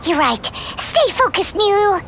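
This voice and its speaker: Tiny, extremely squeaky fairy. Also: female voice, big pitch swings, measured pace, gravelly, fairly bright tone, a little hiss or hum, full character voice. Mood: excitable, supportive. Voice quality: squeaky, childlike. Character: tiny childlike female fairy companion, excitable loyal cheerleader. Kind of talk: English video game voice acting.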